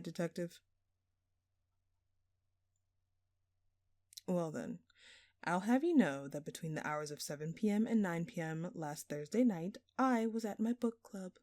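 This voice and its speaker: A woman's voice: lowish voice, a little mellow, no room sound, studio quality, natural voice. A woman playing a smug, obnoxiously flirtatious thief in an audio roleplay, drawling and teasing in a sultry, mock-innocent way. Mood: mocking, playful. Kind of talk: audio roleplay acting addressed to the listener.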